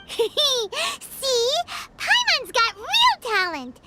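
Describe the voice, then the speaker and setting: Game casting: tiny perky fairy sidekick, extremely high squeak, drawn-out pacing, proud and childlike. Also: female voice, wildly swooping pitch, heavy background noise, full character voice. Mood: proud, gleeful. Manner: squeaky, childlike, third-person speech. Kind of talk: cute cartoonish video game acting.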